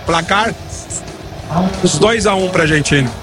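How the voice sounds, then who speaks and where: A man's voice: high voice, measured pace, noisy background. A man answering a street interview in an offhand, joking way.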